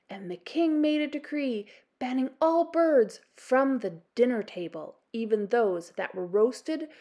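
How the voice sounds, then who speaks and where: A woman with a Canadian accent reading a children's storybook aloud as an expressive storyteller. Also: mid-range voice. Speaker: storytime host, reader. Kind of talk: children's storybook read-aloud, scripted narration.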